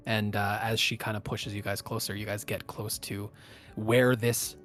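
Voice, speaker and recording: A man with a slightly low voice works as game master at a tabletop game. He explains rules and describes scenes off the cuff, pausing as he goes, over background music. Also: little pitch movement, fairly bright tone. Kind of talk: improvised tabletop game narration.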